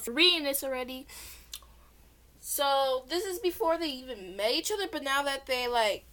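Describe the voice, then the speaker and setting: A woman's voice: sits high, normal speed, pushed and bright. A young woman casually reading her own story aloud, rambling into informal, self-deprecating asides. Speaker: amateur story narrator. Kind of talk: casual chatty read-along of own story.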